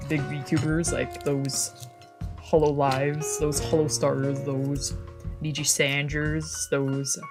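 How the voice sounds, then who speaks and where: A woman streamer rambling casually and playfully as she gets ready to play a game, with informal, sweary, self-deprecating talk. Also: deep voice. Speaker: VTuber streamer playing a visual novel. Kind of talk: casual rambling livestream chat. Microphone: old, cheap microphone.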